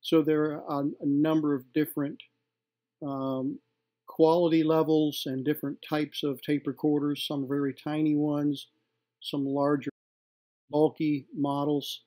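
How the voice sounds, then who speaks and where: A middle-aged man presenting from home, showing vintage gear in an unscripted, halting, informal and appreciative way. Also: a little high, level tone, warm tone. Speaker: vintage tape recorder collector and presenter. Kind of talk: unscripted hands-on demonstration.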